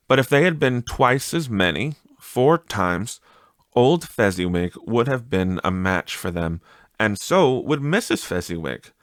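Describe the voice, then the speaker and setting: A man with a fairly low voice narrates a storybook at a very unhurried pace. His phrasing is measured and expressive, and the recording is dry and close. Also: dry recording. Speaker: audiobook narrator.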